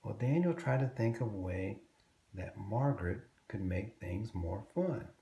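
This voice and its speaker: A man reading a children's story aloud, expressively and deliberately, drawing out words for young listeners. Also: fairly low pitch, lively intonation, warm tone, reverberant, slight background noise.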